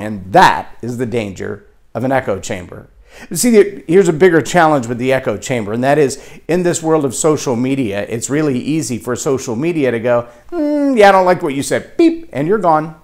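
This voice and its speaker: Animated Texan man giving a leadership talk. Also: mid-range voice. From Texas. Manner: animated, acts out quoted voices. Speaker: leadership speaker. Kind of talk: motivational talk to camera.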